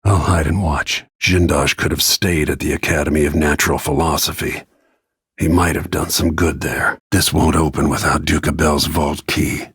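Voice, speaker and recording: A man voicing a grim, battle-worn middle-aged royal protector and assassin in a video game, with terse, brooding, measured delivery and a gravelly, husky voice. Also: a bass voice, fairly even intonation, fairly bright tone, no echo, clean studio sound, heavily acted. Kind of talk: scripted video game character lines.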